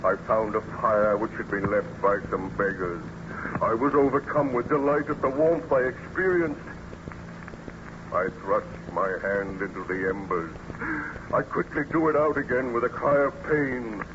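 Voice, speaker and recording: An actor playing a wretched, bitter man-made monster in old-time radio drama, with slow, grave, declamatory speech and formal diction. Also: mid-range voice, expressive, close to an everyday voice. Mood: mournful. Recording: muffled, noisy old radio recording.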